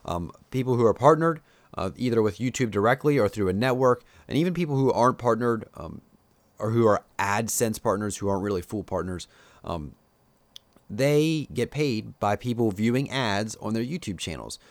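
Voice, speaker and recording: A man's voice: lowish voice, animated, moderate pace, no room sound. A man with a Pennsylvania Dutch accent, talking casually to his viewers as a YouTuber, rambling with lots of fillers while giving his opinions. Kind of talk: casual live-stream commentary.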